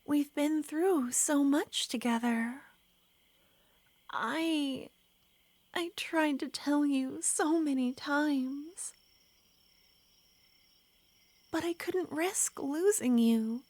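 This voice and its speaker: A woman voicing an ancient, lovesick female vampire who pleads softly and slips into whispers, in an ASMR roleplay. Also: high-pitched, slow, no room sound. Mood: tender. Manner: soft, pleading, drifting into whispers.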